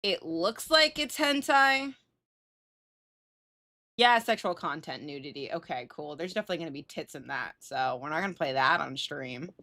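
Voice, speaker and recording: A woman's voice: deep voice, lively intonation, normal speed, pushed and bright. Casual, sweary woman livestreamer chatting. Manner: sweary, self-teasing, slangy. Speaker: live streamer. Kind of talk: casual livestream chat with viewers.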